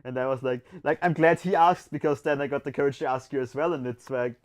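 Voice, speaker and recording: A man co-hosting a relaxed livestream in an average middle-pitched voice. He talks in a friendly, easygoing way, often agreeing and acting out his own past words. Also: fast talker. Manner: friendly, agreeable, retells with quoted asides. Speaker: podcast co-host. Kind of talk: casual livestream chat.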